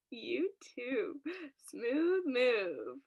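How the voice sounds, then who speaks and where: A woman's voice: fairly high pitch, huge pitch swings, gravelly, heavily acted. A woman playing a mocking, gleeful inner voice of anxiety in a student radio play, taunting theatrically. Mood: sarcastic. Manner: taunting, theatrical, drawn-out delivery.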